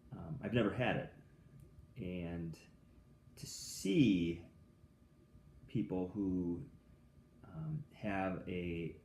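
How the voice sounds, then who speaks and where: An American man with a very low voice talks through his thoughts slowly and hesitantly, stopping often with ums and restarting his sentences. He sounds like he is in an echoey room, with faint ambient noise behind him. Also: a little mellow. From United States. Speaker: vlogger. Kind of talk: reflective solo monologue.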